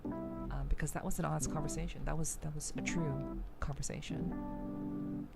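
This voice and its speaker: A woman streamer giving reflective, casual commentary to viewers, deliberate and emphatic, often repeating phrases for weight. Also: deep voice, fairly even intonation, gravelly. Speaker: streamer hosting a game playthrough. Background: music.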